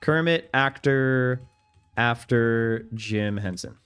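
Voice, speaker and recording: A man's voice: medium pitch, takes it slowly, fairly bright tone, smooth, soft background music. A young man streaming a video game, chatting casually with his viewers, reading their messages aloud and laughing easily. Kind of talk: casual gameplay commentary.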